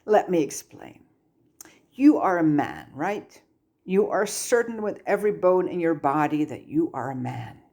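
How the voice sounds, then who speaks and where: Woman voicing a firm, low-voiced male teacher. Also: lively intonation, dark tone, full character voice. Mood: patient, kind. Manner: firm, measured, dryly patient. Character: stern but fond adult male teacher. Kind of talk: storybook dialogue reading.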